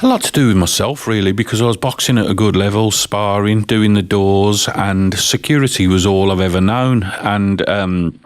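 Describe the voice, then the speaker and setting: A man in his fifties with a Northern Irish lilt softened by years in the West Midlands, a company chief answering radio interview questions in a plain-spoken, rambling way with lots of ums. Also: lowish voice, moderate pace, few pauses, dry recording, studio quality. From Northern Ireland. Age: 50s. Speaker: company CEO, interview guest.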